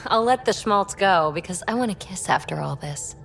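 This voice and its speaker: An actress playing a feisty, affectionate starship crewmate in a video game, with a playful, informal manner. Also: female voice, medium pitch, expressive, moderate pace, vocal fry, soft background music. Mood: flirtatious.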